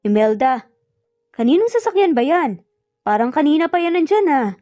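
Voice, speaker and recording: Woman doing older father, indignant, threatening, protective, mellow close-miked tone, lo-fi, audio drama. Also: high voice, very animated, moderate pace, no echo, voice pushed up. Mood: suspicious. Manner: indignant, blustering. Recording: muffled lo-fi audio, music bed behind.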